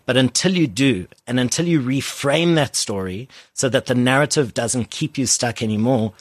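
A man's voice: medium pitch, moderate pace, no room sound. A man who is an author and coach, answering interview questions earnestly and speaking in catchy motivational sayings. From born in South Africa, raised in Germany.

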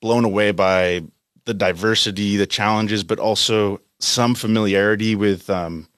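A man's voice: fairly low pitch, fairly even intonation, normal speed, fairly bright tone, no room sound. A man in his late thirties with an American accent, talking casually as an interview guest in a studio. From United States, California. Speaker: interview guest, company country head.

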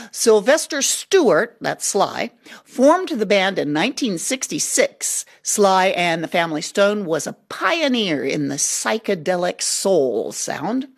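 A woman hosting a radio show, introducing music from a prepared script. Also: mid-range voice.

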